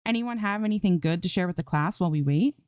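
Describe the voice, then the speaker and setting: A woman's voice: fairly low pitch, fast talker, darkish tone, clean tone, no echo, clean studio sound, pitched above the performer's own voice, lightly acted. A woman voicing a bubbly, playful young gamer and pop idol in a story reading, with chirpy, whiny banter. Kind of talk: storybook character dialogue in audiobook reading.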